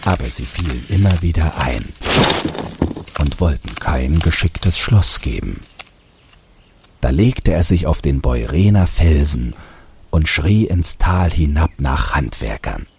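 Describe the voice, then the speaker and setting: A man reading a fairy tale aloud as an expressive storybook narrator. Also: extremely low pitch, slow, creaky, a little hiss or hum.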